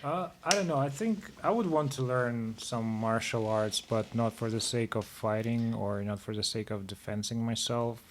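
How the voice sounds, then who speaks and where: A man's voice: medium pitch, moderate pace, few pauses, background noise. A man hosting a podcast in casual conversation. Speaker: podcast host. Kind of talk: casual chat.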